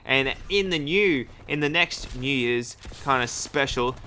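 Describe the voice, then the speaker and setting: A man's voice: mid-range voice, unhurried, sharp tone, a little hiss or hum. A young man giving casual, hyped, rambling commentary over his own gameplay. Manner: hyped, rambling, self-deprecating. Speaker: gaming YouTuber. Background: game gunfire.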